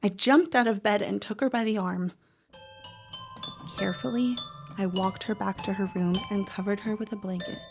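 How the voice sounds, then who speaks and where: Troubled teen girl, played by a woman, telling her story in horror radio drama, measured delivery with dramatic pauses, music underneath. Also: mid-range voice, fairly even intonation, lightly acted. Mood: hushed, disturbed. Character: troubled teenage girl narrating, protective of her sister. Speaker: actor narrating. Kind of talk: first-person horror audio drama monologue.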